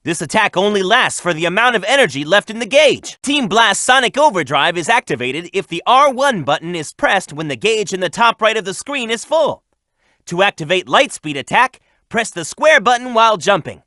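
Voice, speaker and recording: A young, cocky hedgehog hero for a video game. The voice sits high and swings around with lots of animation. He is brisk, upbeat and sure of himself, with a forceful, bright edge. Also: very animated, no echo, studio quality, heavily acted. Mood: instructive, upbeat. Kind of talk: video game character voice acting, tutorial hints and quips.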